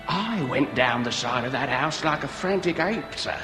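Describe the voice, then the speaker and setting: An actor playing a wordy, principled hired burglar, deferential yet stubborn, in a comic period radio drama. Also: male voice, medium pitch, animated, normal speed, close to an everyday voice. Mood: dramatic. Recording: echoing cathedral-like hall, music behind.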